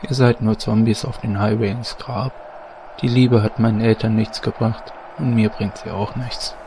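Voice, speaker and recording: A man playing a morose, contemptuous young goth in a parody audio drama, with a deadpan delivery. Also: lowish voice, moderate pace, lightly acted. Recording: background noise.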